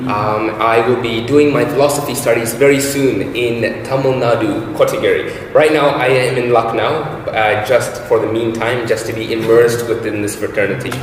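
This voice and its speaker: A young man from New Zealand introduces himself at an easy, slow pace, with gentle fillers between his thoughts. His mid-pitched voice rings out in a very echoey hall with a long reverb. A faint hum of noise sits underneath. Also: steady tone. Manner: slow, unhurried, with soft filler pauses. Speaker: Capuchin brother in formation. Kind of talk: casual self-introduction.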